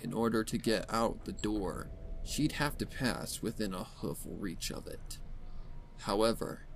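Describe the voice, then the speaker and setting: A man's voice: on the low side, gravelly, a little hiss or hum, poor audio. A man narrating a horror story in a dramatic, scripted reading style.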